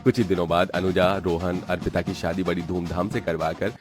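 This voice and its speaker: A man's voice: low-pitched, little pitch movement, fairly quick, music in the background. A man giving scripted narration for a cartoon family story.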